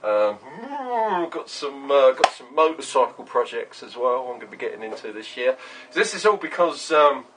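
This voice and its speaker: A middle-aged man with a husky voice, chatting casually and rambling in a workshop vlog, often sweary. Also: medium pitch, very animated, normal speed, noisy background. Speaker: vlogger, workshop owner. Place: small cluttered workshop, indoors.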